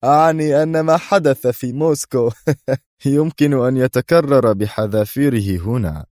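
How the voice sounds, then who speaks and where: A man's voice: sits low, sing-song, normal speed, darkish tone, no echo. Guy doing a smug traitor general in an audiobook, defiant and sure of himself, dry close sound. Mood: mocking, gloating. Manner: self-assured, taunting. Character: confident, defiant air force commander turned traitor.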